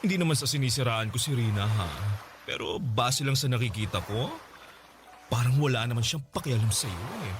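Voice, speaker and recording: A man's voice: medium pitch, a little fast, noisy background, natural voice. A man playing a frank, flirtatious wealthy man in a Tagalog radio drama, teasing and drawling with bits of English mixed in. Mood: sympathetic, frank. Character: frank, flirtatious wealthy man advising his paid lover.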